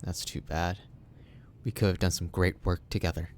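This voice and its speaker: A measured Republic official, played by a man talks evenly, with a dry edge. His voice sits low, and faint noise is heard behind it. It comes from a homemade audio drama. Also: flat delivery, a little mellow, natural voice. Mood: calm. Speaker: official, son of the corporate boss. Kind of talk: fan audio drama acting.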